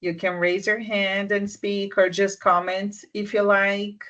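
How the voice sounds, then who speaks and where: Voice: medium pitch, flat delivery, measured pace. Mentor on a muffled call. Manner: soft-spoken, restarts often, checks understanding. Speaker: business mentor. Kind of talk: advice in a live online session.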